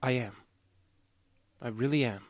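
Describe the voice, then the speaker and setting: An actor plays a calm, detached man in a naturalistic audio drama, earnest but socially awkward. His mid-pitched voice stays flat and restrained, with hesitant, literal phrasing. The sound is dry, with no room around it. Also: a little slow, no echo, natural voice.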